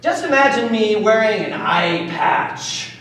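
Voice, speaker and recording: A man giving an animated solo reading of his play as a child narrator. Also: sits high, takes it slowly. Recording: distant echoey room.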